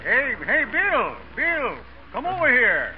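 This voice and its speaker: An actor playing a folksy, blustering, loyal American Westerner in a radio comedy, with a Western accent and a habit of repeating words. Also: male voice, extremely high pitch, huge pitch swings, slow pace, full character voice. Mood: hearty. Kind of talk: 1930s-40s radio comedy acting. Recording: muffled, hissy old radio recording.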